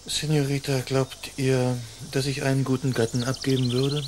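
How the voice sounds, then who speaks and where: An actor playing a polite, languid young aristocratic suitor in a radio play, formal and stiff in manner. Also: male voice, mid-range voice, flat delivery, normal speed, over music, close to an everyday voice.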